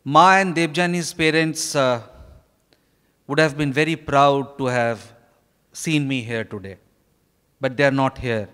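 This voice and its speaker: A man in his fifties with an Indian accent gives a formal prepared speech in halting, deliberate short phrases. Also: medium pitch, a bit bright, smooth. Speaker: author addressing an event. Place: stage in a large hotel ballroom. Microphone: handheld microphone. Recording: reverberant hall, live stage microphone.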